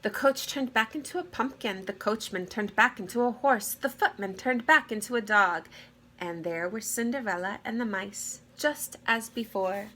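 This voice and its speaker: A woman reading a fairy tale aloud to children with deliberate, expressive phrasing. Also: medium pitch. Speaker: read-aloud storyteller. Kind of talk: expressive children's story narration. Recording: clear background noise.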